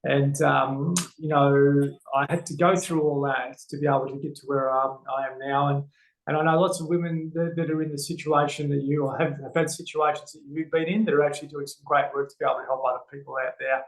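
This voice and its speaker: A man's voice: fairly high pitch, little pitch movement, a little fast. Earnest Australian man, echoey room. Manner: earnest, many fillers and restarts. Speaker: guest, men's health educator. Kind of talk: casual interview talk.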